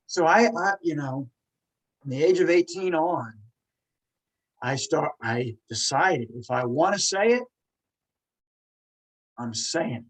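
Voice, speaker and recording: A man's voice: fairly high pitch, expressive, rough recording. Earnest man who stutters openly. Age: 40s. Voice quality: stutters with repeated sounds. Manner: earnest, emphatic, quick bursts. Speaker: podcast host. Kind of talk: casual monologue.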